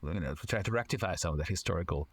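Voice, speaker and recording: Low-voiced man giving measured interview answers. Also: slightly rough. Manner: measured, frequent "you know" fillers and restarts. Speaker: guest, foreign correspondent and author. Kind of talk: long thoughtful interview answers.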